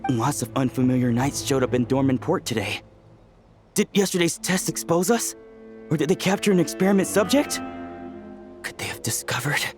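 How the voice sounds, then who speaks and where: Game cast: a man playing a nervous, worried accomplice, higher voice, music under. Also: moderate pace, lightly acted. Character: anxious male alchemist accomplice. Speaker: game character. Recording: game audio with music behind.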